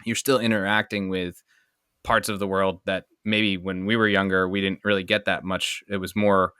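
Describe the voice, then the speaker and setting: A man's voice: low-pitched, flat delivery, normal speed, bright, forceful tone, clean tone, no room sound, clean studio sound. An American man hosting a casual podcast conversation, talking in a rambling, enthusiastic way. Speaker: podcast host.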